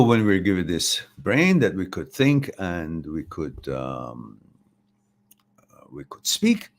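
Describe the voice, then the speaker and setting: A man in his sixties from Iran with an Iranian accent, giving an informal philosophical talk in a reflective, halting way as host. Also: fairly low pitch, a little mellow. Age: 60s. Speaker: host of a live talk, answering viewer questions.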